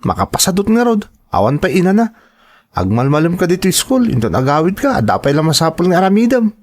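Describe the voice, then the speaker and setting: A man acting as a young, easygoing college student with an Ilocano accent. His fairly high voice swings around as he grumbles and teases in an audio drama. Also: sing-song, fast talker, full character voice. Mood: grumbling.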